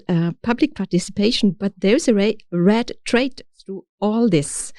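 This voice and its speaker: A middle-aged woman from Austria with an Austrian accent, telling her story as an interview guest, halting now and then as she searches for words in English. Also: medium pitch, dark tone, no room sound, studio quality. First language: German. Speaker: podcast guest, city official. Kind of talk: conversational interview, personal storytelling.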